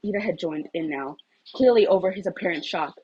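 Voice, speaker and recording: A woman's voice: lowish voice, expressive, a little fast, darkish tone, muffled recording, slight background noise. A woman reading a scripted story aloud as an audiobook-style narrator. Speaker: audiobook-style fan fiction reader.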